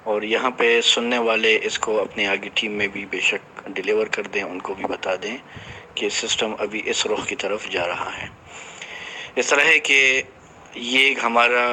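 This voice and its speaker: Man, bright forceful voice, halting explanatory team announcement, English business terms mixed in, slightly noisy voice-message recording. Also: lowish voice. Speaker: company director addressing team members. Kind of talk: informal spoken announcement to a team.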